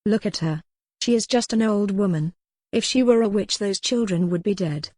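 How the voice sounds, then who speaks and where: A woman's voice: medium pitch, moderate pace, a little mellow, no room sound, natural voice. A proud young noblewoman, acted by a woman narrator, defiant and imperious in a historical storybook. Her wording is formal and articulate, heard in a very dry, close recording. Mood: exasperated. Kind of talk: audiobook character dialogue.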